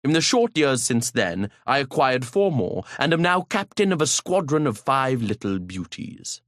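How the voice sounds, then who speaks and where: A man's voice: mid-range voice, big pitch swings, normal speed, fairly bright tone, dry recording, studio quality. A man narrating an audiobook in the first person, pompous and grandiloquent, with an occasional stutter.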